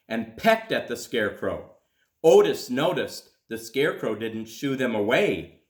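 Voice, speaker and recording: A man reads a children's story aloud at a slow, careful pace, with plenty of dramatic pauses. His pitch swings widely as he narrates. The room around his voice is noticeably echoey. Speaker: school principal reading aloud.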